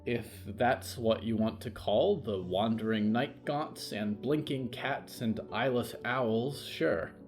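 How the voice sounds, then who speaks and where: A man's voice: mid-range voice, takes it slowly, hardly any pauses, faint music behind. A man voicing a grumbling, anxious young boy who is a scout recruit, in a sighing, reluctant way. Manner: sighing, grunting.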